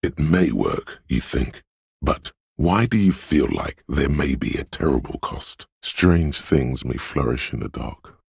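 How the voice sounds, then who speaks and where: A man voicing a brooding, superstitious inner voice of intuition in a video game, speaking in a hushed, portentous, theatrical way. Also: sing-song, slow, no room sound, studio quality, full character voice. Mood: dark, wary. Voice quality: very deep, gravelly, husky. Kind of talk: dramatic video game narration.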